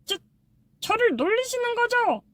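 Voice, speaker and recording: A woman's voice: very high-pitched, big pitch swings, a little slow, no echo, studio quality, exaggerated voice. A woman voicing a polite, wary little termite who speaks bluntly but kindly in a storybook audiobook. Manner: polite, hesitant, bluntly practical. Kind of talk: audiobook character voice.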